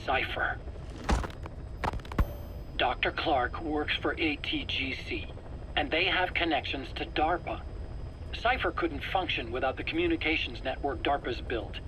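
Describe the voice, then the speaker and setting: A man's voice: fairly high pitch, sharp tone. A man playing a nervous, evasive scientist under interrogation in a video game, explaining himself earnestly. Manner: earnest explaining, stammers and pleads when pressed. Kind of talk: dramatic video game voice acting. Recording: noisy cassette-tape interrogation recording.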